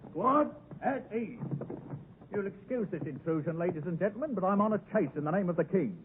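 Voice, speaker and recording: An actor playing a brisk, officious army sergeant who barks commands, in 1950s radio drama style. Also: male voice, high-pitched, lively intonation, background noise. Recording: tinny, narrow 1950s radio broadcast.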